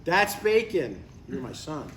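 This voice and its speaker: A man's voice: on the high side, big pitch swings, slow. A man playing a stubborn but affectionate older father in a live comedy table read, speaking in a matter-of-fact way. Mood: earnest, mild. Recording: roomy, distant live recording, faint noise.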